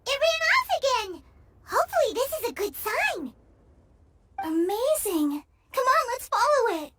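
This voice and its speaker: A woman voicing a tiny, excitable, cheeky childlike fairy companion in an anime game, with a squeaky voice. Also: measured pace, slight background noise, full character voice. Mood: curious, eager. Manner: excitable, exclamatory, refers to self by name.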